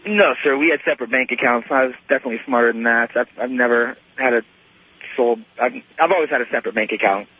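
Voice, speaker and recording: A man in his thirties calling a radio show, telling a personal story in a halting, repetitive way full of "you know". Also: mid-range voice, slightly rough, no echo. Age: 30s. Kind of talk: unscripted personal account on a call-in show. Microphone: telephone. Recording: muffled lo-fi phone call to radio.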